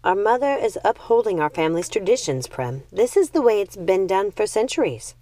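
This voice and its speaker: A woman voicing a calm, pragmatic young witch sister in a storybook, measured and matter-of-fact. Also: medium pitch, close to an everyday voice. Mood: reassuring.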